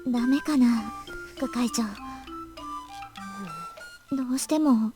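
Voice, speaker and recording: A woman's voice: fairly high pitch, measured pace, warm tone, close to an everyday voice. A woman acting an earnest, wistful teenage girl who leads a club, in a Japanese visual novel. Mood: wistful appeal. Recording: game music behind dialogue.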